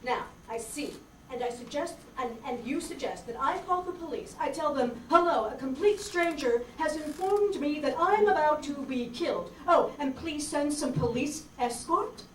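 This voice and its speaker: A woman's voice: sits high, animated, normal speed. A woman playing an indignant, sceptical married male lawyer in an amateur radio detective play. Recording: distant roomy recording, faint noise.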